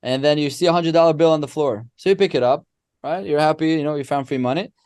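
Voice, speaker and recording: A man, a podcast guest who explains ideas through animated, restart-filled stories. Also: a little high, fast talker, clear, resonant tone, no echo, clean studio sound. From Israel. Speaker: guest, company co-founder. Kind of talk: casual podcast interview.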